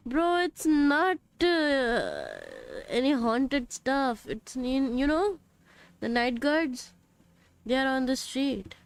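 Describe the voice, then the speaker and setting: A woman's voice: high-pitched, very animated, very slow, smooth. A woman chatting casually on a live game stream, mixing Hindi and English. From India.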